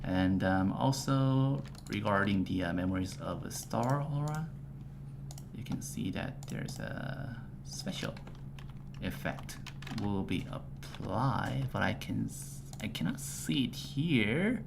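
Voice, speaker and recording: Low-voiced man presenting a game stream. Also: creaky. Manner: filler-heavy, halting, chuckling. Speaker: host of a game livestream. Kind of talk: casual livestream presentation. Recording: steady background noise with typing.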